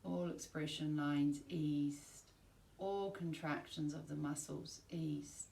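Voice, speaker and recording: Low-voiced woman guiding a slow relaxation meditation. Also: gravelly. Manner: calm, soothing, measured instruction with long pauses. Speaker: yoga nidra teacher. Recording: roomy, distant mic, faint background noise.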